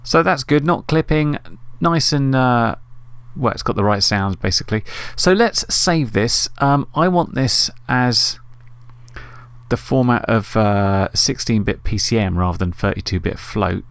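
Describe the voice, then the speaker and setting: A man's voice: mid-range voice, unhurried, gravelly, clear, resonant tone, dry recording. A man hosting a casual screen-recorded tutorial, thinking aloud informally as he works. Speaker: tutorial host. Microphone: USB desktop microphone.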